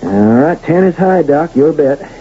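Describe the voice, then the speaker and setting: A stubborn Western card player with a slow, creaky delivery. His voice is a little high but very mellow, swinging with defiance. It sounds like a dull 1970s radio drama. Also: animated. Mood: excited, daring. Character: stubborn Western gambler playing for a ranch mortgage.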